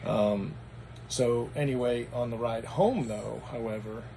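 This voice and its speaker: Man, raspy, hoarse, gravelly, meandering casual vlog talk, background noise. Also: mid-range voice, unhurried, dark tone, poor audio. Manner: meandering, matter-of-fact recounting. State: hoarse after anesthesia. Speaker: vlogger. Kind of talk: casual personal vlog recap.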